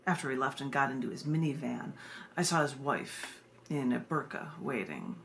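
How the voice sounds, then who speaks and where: A woman's voice: a bass voice, lively intonation, moderate pace, vocal fry, a bit bright. An American woman hosting a live online poetry reading, sharing poems and casual asides with her viewers. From United States. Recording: noisy live video stream.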